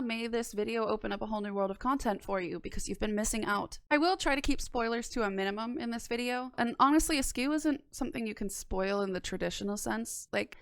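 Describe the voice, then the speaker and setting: A woman's voice: fairly high pitch, vocal fry, fairly bright tone, clear, resonant tone, no echo. A young woman narrating a review like a video essayist, conversational and wry, close to the mic. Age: young adult. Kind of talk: semi-scripted review narration with casual asides. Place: small indoor room. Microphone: close microphone on a boom arm.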